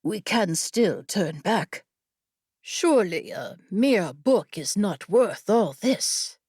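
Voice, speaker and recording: A woman's voice: mid-range voice, sing-song, slow, darkish tone, slightly rough, no room sound, full character voice. A woman voicing a stern, scowling female desert guide for an audiobook, blunt, dry and condescending. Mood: grim, disgusted. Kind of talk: audiobook character voice.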